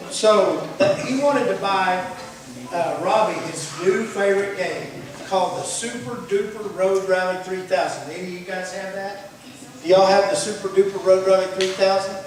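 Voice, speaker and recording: High-voiced male storyteller in echoey hall. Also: normal speed. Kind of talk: informal narration to children at puppet show. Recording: echoey hall, distant microphone.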